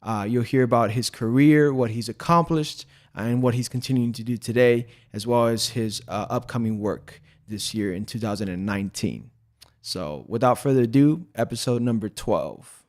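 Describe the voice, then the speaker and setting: A man's voice: medium pitch, moderate pace, a little mellow. A man hosting a podcast, friendly and casual, with easy slang. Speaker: podcast host. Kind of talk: casual interview.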